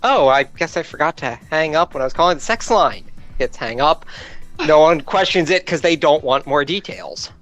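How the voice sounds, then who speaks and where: A man's voice: on the high side, lively intonation, moderate pace, fairly bright tone. A man talking casually as a player in a group tabletop game. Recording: muffled lo-fi mic, music behind.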